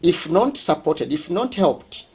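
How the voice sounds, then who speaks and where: A man with a Ugandan accent speaking as a school representative, explaining things during a tour in a halting, deliberate way, often rephrasing. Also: a little high, sing-song, a little mellow, husky.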